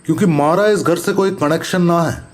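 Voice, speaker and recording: A man with a Rajasthani accent playing a methodical, serious paranormal investigator in an audio horror drama, measured and explanatory. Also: on the high side, natural voice. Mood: calm, explaining.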